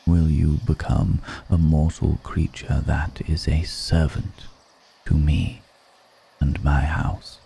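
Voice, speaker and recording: A man voicing a seductive, grave vampire lord in an intimate ASMR roleplay, speaking in a hushed, deliberate and solemn manner. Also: a bass voice, little pitch movement, vocal fry, a little hiss or hum, heavily acted.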